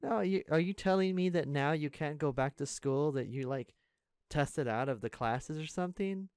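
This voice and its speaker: A man playing an earnest, caring high school senior in an intimate audio roleplay, speaking casually and haltingly to a best friend. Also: darkish tone, clear, resonant tone, dry recording, clean studio sound, lightly acted. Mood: serious, bewildered. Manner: casual, halting, stammering, conversational.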